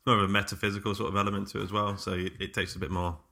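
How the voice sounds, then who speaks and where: A man's voice: on the low side, level tone, racing, gravelly, bright, forceful tone. A man co-hosting a podcast, chatting in a casual, informal way. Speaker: podcast co-host. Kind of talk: casual chat.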